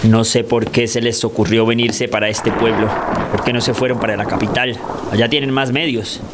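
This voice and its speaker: A man's voice: mid-range voice, level tone, moderate pace, natural voice. A man playing an irritable, wary husband, a provincial schoolteacher, in a radio play, speaking in a stern, reproachful way. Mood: wary, annoyed. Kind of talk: radio theatre acting. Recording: noisy, rain and thunder behind.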